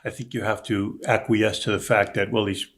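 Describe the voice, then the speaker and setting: A man's voice: fairly low pitch, level tone, moderate pace, fairly bright tone. A man in an informal discussion, often repeating words as he gets a thought started. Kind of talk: casual panel discussion.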